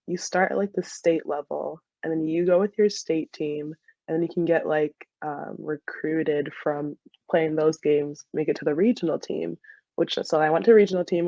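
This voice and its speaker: A woman's voice: low voice, normal speed, gravelly, mellow, no echo, dull audio. A young woman in a casual interview as a soccer player guest, peppering her talk with "like". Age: young adult. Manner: heavy use of "like" as she talks.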